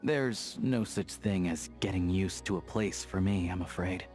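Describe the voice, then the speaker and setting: A man's voice: low voice, unhurried, darkish tone, faint music behind. A man voicing a hardy, principled young man of the snowfields in a video game, speaking in an easygoing, plain-spoken way. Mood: impressed, grateful. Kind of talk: video game character voice acting.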